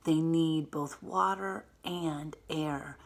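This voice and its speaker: A woman teacher reading a picture book aloud to young children in an expressive way, pausing to explain things simply with emphasized words. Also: low-pitched, creaky.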